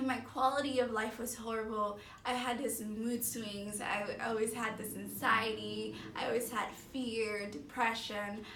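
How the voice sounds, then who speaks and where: A woman's voice: a little high, a bit bright. A woman of thirty-two from Mexico City and the Bay Area, giving an earnest, unscripted personal testimonial in a conversational, emphatic way. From born in Mexico City, grew up in the San Francisco Bay Area. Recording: echoey room, distant mic, faint noise.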